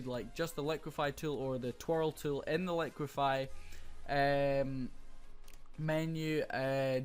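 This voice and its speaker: Slightly hoarse young man explaining casually. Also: on the high side. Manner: casual, explanatory, many "uh" fillers. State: slightly hoarse, losing his voice. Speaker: graphics tutorial creator. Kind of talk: screen-recorded software tutorial.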